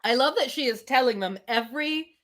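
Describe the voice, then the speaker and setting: A woman's voice: high voice, fairly quick, fairly bright tone. A woman hosting a casual live novel reading, with chatty asides. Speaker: livestream host reading a novel aloud.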